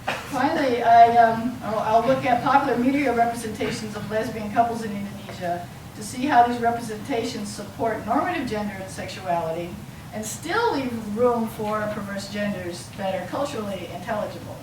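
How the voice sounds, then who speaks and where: Female academic lecturer, halting and explanatory, recorded from a distance in a roomy space with clear background noise. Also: fairly high pitch. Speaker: university lecturer. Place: lecture room, mic some way off.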